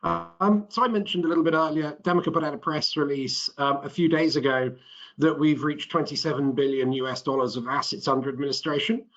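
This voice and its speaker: A middle-aged man, a chief executive, presenting to a business webinar in a measured corporate manner. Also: on the high side, steady tone, a bit bright. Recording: muffled webinar audio.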